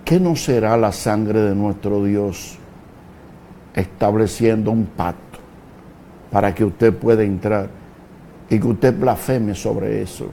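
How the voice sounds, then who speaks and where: A man preaching a fiery sermon, slow and deliberate, breaking into sudden loud shouted peaks. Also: fairly low pitch, slight background noise.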